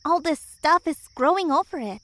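A woman's voice: high-pitched, lively intonation, moderate pace, fairly bright tone, no room sound. An actress playing a timid, devout teenage student girl who sounds worried. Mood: nervous.

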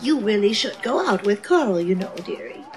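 A woman's voice: mid-range voice, animated, normal speed, lightly acted. A woman playing a fussy, kindly, motherly older office columnist in an audio drama, doting on everyone around her. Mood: chatty, doting. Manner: fussy, doting, says "dearie".

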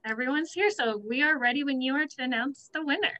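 A woman's voice: sits high, fast, bright, forceful tone. A young woman hosting a casual video call with an enthusiastic manner. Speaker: host. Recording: dull lo-fi video call audio.

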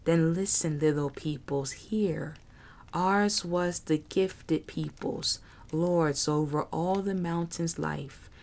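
A low, rasping reptilian creature, as a woman puts him on, spitting out slow, broken phrases with whispered hisses. He sounds bitter and vengeful, a menacing figure from an audiobook fantasy. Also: a little mellow. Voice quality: rasping, hissing. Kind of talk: audiobook character voice.

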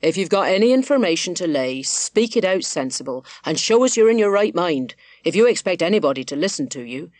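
A woman voicing a blunt, steady male innkeeper in a storybook, conciliatory but firm, with rustic phrasing. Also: fairly low pitch, a little fast, few pauses, no room sound. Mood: firm, commanding.

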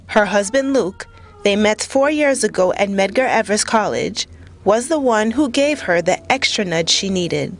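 A woman's voice: medium pitch, expressive, unhurried. A woman reporter reading a scripted narration for a local news feature.

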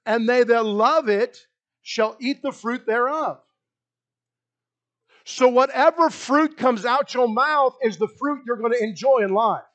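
A middle-aged man with a Kentucky accent preaching a lively, animated sermon in a small church hall on a handheld mic, often repeating himself as he paces the stage. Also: very high voice, measured pace, dull audio. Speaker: pastor. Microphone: handheld mic, filmed by a far wide camera.

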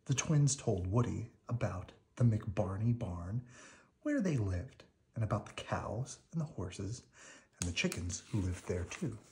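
A man narrating a children's picture book in an expressive read-aloud style. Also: fairly low pitch, measured pace, a little hiss or hum.

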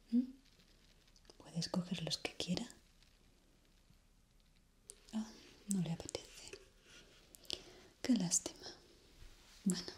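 A young woman from playing a polite, eerie hotel receptionist in an ASMR roleplay, formal and hushed. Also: lowish voice. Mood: courteous, faintly eerie. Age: young adult. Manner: formal, slow, hushed and courteous.

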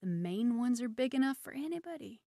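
Woman acting intense, high-voiced, power-hungry survivor soldier. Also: big pitch swings, no echo, studio quality. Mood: scheming, hushed. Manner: intense, halting, swinging from hushed to fervent. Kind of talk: radio drama acting.

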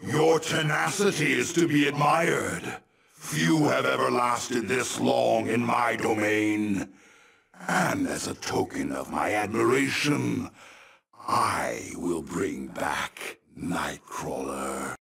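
A man playing a menacing, gloating demon lord in a video game, with a husky, gravelly voice and a grandiose, commanding, theatrical delivery. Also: mid-range voice, takes it slowly, a bit bright, exaggerated voice. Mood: smug, scheming. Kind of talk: video game villain acting.

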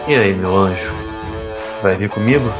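A man's voice: low-pitched, animated, normal speed. A young man playing an evasive, troubled rich playboy in an audio drama. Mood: evasive, affectionate. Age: young adult. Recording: music under the voice.

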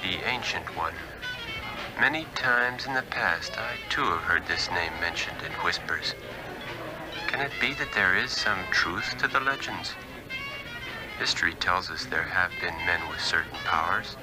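An actor playing a brooding drifter musing theatrically to himself in an old radio drama. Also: male voice, sits low, animated, a little slow, rough recording. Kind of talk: 1960s radio drama monologue. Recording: old radio recording under loud music.

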